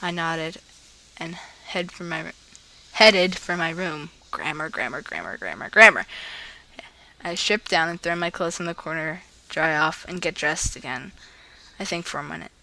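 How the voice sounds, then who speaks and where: A woman with a bright, sharp-edged voice hosts a casual reading video. She sounds informal and giggly, moving between loose vlog chat and amateur read-aloud storytelling. Words sometimes trip her up as she goes. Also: low voice, animated, moderate pace, vocal fry, poor audio. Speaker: host of a fan fiction reading video.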